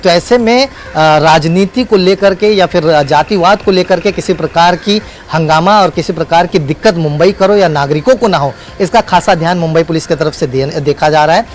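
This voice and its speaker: A man's voice: on the high side, normal speed, few pauses, slight background noise. A man reporting live as a news correspondent, speaking unscripted in emphatic, repetitive phrases with hesitant fillers.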